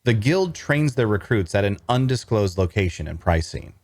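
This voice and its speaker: Man as an earnest traveler, low dry storytelling voice. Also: lively intonation, normal speed, a little mellow, no room sound. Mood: reflective. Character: earnest, cash-strapped young male traveler telling his tale. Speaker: narrator and lead actor in a table read. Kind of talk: first-person fantasy narration and dialogue.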